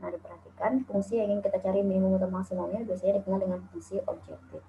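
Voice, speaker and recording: A woman's voice: mid-range voice, racing, mellow, a little hiss or hum. A woman from Indonesia giving a recorded calculus lecture over slides, explaining step by step with frequent "eh" and "nah". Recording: tinny phone-like lo-fi audio.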